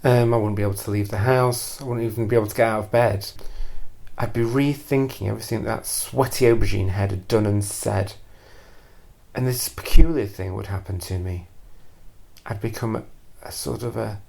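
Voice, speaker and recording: A man telling a first-person confessional story in a deadpan, deliberate and hesitant way, repeating phrases for emphasis. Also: on the low side, vocal fry. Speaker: storyteller performing a personal monologue.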